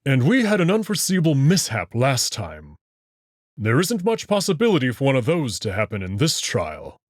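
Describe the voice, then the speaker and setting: Man voicing Amicus, an expressive, proud alien prince. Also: mid-range voice, dry recording, clean studio sound. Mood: protective, serious. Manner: assured, measured, formal phrasing. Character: Amicus, proud protective furry alien prince, an older brother. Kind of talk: visual novel character acting.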